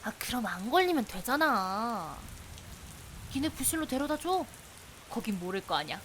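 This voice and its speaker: A woman playing a persistent young female investigator in a visual novel, playful and sulky, with a wheedling, pouty, expressive delivery. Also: a little high, unhurried. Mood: sulky, pushy. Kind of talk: visual novel voice acting, anime-like drama. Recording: hiss with steady rain behind.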